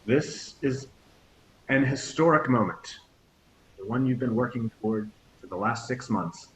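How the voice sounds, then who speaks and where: A man's voice: mid-range voice, a little slow, a little hiss or hum, close to an everyday voice, poor audio. A man playing a stoic starship captain. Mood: commanding. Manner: formal, speech-like address. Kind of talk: table read acting.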